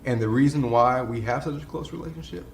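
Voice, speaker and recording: A man's voice: medium pitch, fast, gravelly, roomy sound, slight background noise. A man in his twenties, reflective and earnest, sharing his family's story in a testimonial interview. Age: 20s.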